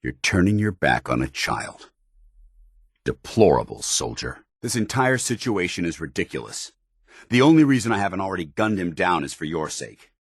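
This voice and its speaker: A super deep american paladin soldier for a game, husky, stern n formal, dry close sound. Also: male voice, very animated, normal speed, no echo, heavily acted. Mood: stern, indignant. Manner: clipped, formal, authoritative military diction. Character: stern, principled military paladin, loyal soldier of a brotherhood. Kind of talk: video game companion dialogue.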